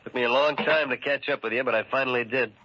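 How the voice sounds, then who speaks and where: Sharp, gruff skeptic, old radio. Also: male voice, mid-range voice, steady tone, fast. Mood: accusing. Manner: gruff, scornful, slangy. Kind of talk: old-time radio drama acting. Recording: muffled old radio broadcast recording.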